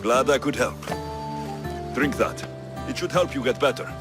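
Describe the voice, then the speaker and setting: A man's voice: mid-range voice, moderate pace, natural voice. Man acting a resolute mercenary hero, over music. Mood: calm, assured. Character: male mercenary hero, resolute, protective, terse. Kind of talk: dramatic video game acting.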